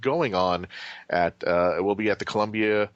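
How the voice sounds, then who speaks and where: A man with a somewhat deep voice gives casual event announcements as a podcast co-host. The sound is close and dry, free of room echo. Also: normal speed, smooth, lo-fi sound.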